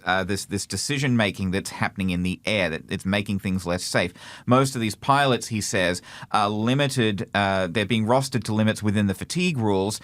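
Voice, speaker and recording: A man co-hosting an aviation podcast, calmly relaying what sources have said. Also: lowish voice, dry recording. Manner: relays reported quotes in measured, explanatory tone. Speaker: podcast host. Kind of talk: casual news discussion.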